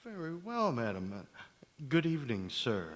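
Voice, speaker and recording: A man's voice: mid-range voice, huge pitch swings, moderate pace. A man playing a blunt, brash, stiffly formal young suitor in an old-time radio drama, on an echoey stage. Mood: nervous politeness. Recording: echoey church hall stage.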